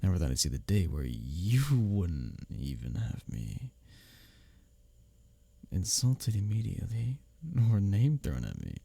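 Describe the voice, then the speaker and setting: A man acting as a sardonic male office rival in an intimate ASMR roleplay. His voice is low and husky, and it swings expressively as he needles you, with a caring streak underneath. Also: sing-song, measured pace, mellow, no room sound, heavily acted. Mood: smug teasing. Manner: intimate, teasing, sardonic, chuckling between lines. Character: teasing, bickering male workplace rival, secretly caring. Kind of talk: ASMR audio roleplay, one-sided dialogue.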